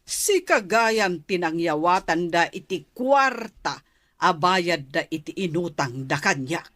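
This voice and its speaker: Harsh, bossy Ilocano aunt, slow-talking. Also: female voice, lowish voice, expressive, no echo, studio quality. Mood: accusing. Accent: Filipino, Ilocano. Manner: sharp, scolding, commanding. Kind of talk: radio drama acting.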